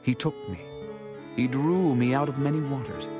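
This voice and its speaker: An actor playing a devout warrior king, reciting scripture in a reverent, declamatory, deliberate way. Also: medium pitch, over music, lightly acted. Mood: reverent, grateful. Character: devout warrior king singing God's praise in verse.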